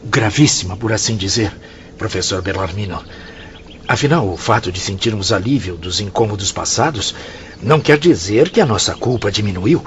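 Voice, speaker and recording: An actor playing a courteous, expressive convalescent spirit in an audio drama, formal and emphatic. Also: male voice, on the low side, moderate pace, natural voice. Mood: grave. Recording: dull, noisy audio with ambience.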